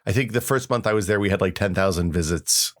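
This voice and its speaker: A man's voice: low-pitched, fast. A man hosting a podcast, chatting casually and telling stories in an informal, wryly exaggerated way. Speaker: podcast host. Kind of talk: casual chat with reminiscing and reading aloud.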